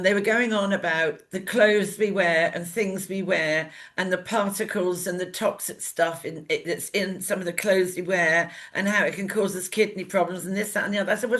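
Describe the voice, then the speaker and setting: Woman talk show co-host, mid pitched voice, casual opinionated chat, rambles, sounds exasperated.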